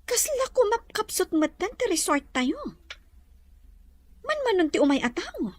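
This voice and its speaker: A woman plays a worried, bossy female resort owner in a radio-style drama. Her voice is very high and lively, with a forceful edge, recorded close and dry. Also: unhurried, dry recording, exaggerated voice. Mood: irritated, worried. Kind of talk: Ilocano audio drama acting.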